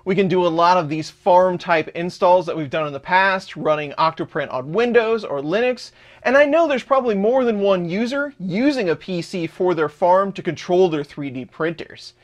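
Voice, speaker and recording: A man in his thirties, his voice sitting a little on the high side, walks through a software setup one step at a time. He talks the way a home tech host does, steady and explanatory, recorded in his workshop. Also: moderate pace, few pauses. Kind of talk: screen-recorded tutorial walkthrough.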